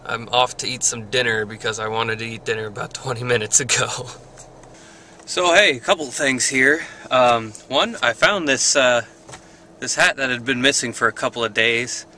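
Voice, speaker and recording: A young man films a casual video diary from the driver's seat of his parked car, holding the camera at arm's length. His voice sounds bright and a little tense. He rambles, hesitates, and corrects himself with small chuckles. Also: mid-range voice, normal speed, a little hiss or hum. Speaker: vlogger. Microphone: handheld camera at arm's length.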